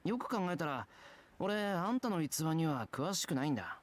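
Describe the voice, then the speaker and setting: A man's voice: on the high side, big pitch swings, fast talker. An actor playing an earnest, straightforward young man in a visual novel, sincere and politely curious. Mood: friendly curiosity. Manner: sincere, plain-spoken. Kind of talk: Japanese visual novel voice acting.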